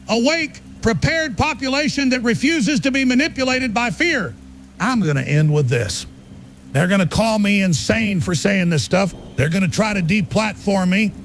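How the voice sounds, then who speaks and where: A man's voice: very high-pitched, fairly bright tone, slight background noise. An actor playing a paranoid male conspiracy podcaster with a preachy, insistent manner. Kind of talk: audio drama acting.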